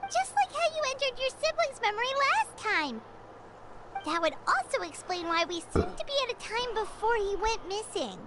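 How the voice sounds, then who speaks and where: A tiny childlike game fairy with a very high, squeaky voice. She is excitable and eager, swinging through lively exclamations. Loud noise sits behind her. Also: normal speed. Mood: excited, puzzled. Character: small childlike fairy companion, chirpy and excitable. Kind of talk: video game character voice acting.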